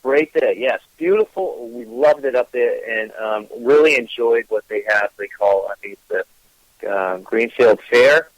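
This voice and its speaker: A man's voice: mid-range voice, unhurried, dry recording, rough recording. A man with a Gloucester, Massachusetts accent, a guest chatting casually, with an easygoing manner full of ums and uhs. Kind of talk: casual interview chat.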